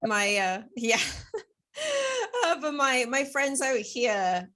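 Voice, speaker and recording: A woman in her twenties hosting a podcast, eager and excited, with a lot of filler words. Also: fairly high pitch, takes it slowly. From Wales. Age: 20s. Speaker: podcast host. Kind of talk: casual podcast chat.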